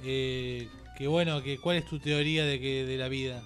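A man with a Rioplatense Spanish accent interviewing informally on radio, halting and circling back over his phrases. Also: mid-range voice, steady tone, a bit bright, faint music behind.

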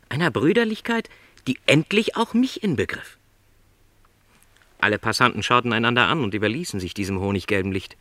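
A man's voice: medium pitch, bright, forceful tone, no echo. An actor playing a lonely, dreamy middle-aged travelling salesman in a radio drama, speaking in reflective, rhapsodic literary phrases.

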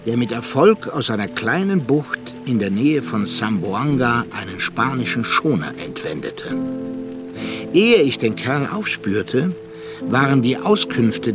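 A thoughtful storyteller in a radio play. His mid-pitched voice moves expressively through measured literary sentences. Music runs behind him. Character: reflective, measured male narrator recounting a friend's fate. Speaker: narrator.

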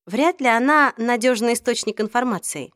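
Бойкая девочка-подросток, чуть выше голосом, её озвучивает чтица, сухая запись без эха. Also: female voice, fairly high pitch, moderate pace, fairly bright tone, dry recording, studio quality, close to an everyday voice. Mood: light. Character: teenage girl, lively and worried. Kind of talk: audiobook reading.

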